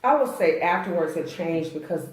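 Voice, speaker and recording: A woman's voice: on the low side, animated, normal speed. A middle-aged woman, a singer, telling her own story casually as an interview guest. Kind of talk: casual personal storytelling in conversation. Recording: very echoey room, far from mic.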